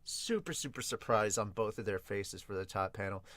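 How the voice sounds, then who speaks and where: A man hosting a casual manga reaction podcast, talking in an excitable, rambling way. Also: fairly low pitch, normal speed, dry recording. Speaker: podcast host and manga reviewer. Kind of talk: casual reaction commentary with read-aloud.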